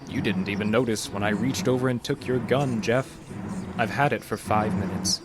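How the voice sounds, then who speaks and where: A man playing a calmly menacing, condescending counselor in a horror audio drama, speaking deliberately and tauntingly. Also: fairly low pitch, heavy background noise, close to an everyday voice. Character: sinister, calmly menacing male counselor secretly drugging his patient.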